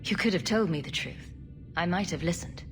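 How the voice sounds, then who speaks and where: A woman's voice: fairly low pitch, animated, over music, natural voice. Composed, diplomatic heroine, played by a woman. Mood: calm, reproachful. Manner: measured, probing. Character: composed, diplomatic female fantasy champion. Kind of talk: video game cutscene acting.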